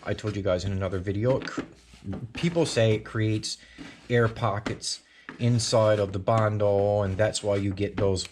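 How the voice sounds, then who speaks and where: A man's voice: lowish voice, fairly even intonation, moderate pace, noisy background. A man with a Newfoundland accent hosting a casual do-it-yourself car repair video, talking through his work with lots of fillers and restarts. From Newfoundland, Canada. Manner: chatty, rambling, with frequent fillers and restarts. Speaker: channel host. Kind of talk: casual DIY garage tutorial. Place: home garage.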